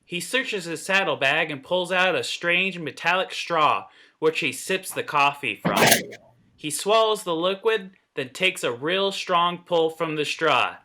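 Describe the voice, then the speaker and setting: A man reads screenplay narration in a very bright, forceful voice, pitched a little high. He sounds like he is at an informal read-through, stumbling now and then. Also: expressive, measured pace. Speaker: table reader of script narration and dialogue. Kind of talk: scripted narration read aloud.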